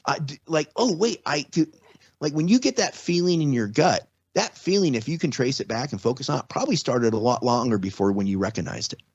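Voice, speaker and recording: A man's voice: mid-range voice, expressive, brisk, darkish tone, slightly rough, no echo. A middle-aged man talking casually as a podcast guest, rambling and restarting his sentences often. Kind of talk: casual conversation. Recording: slightly dull, dry sound.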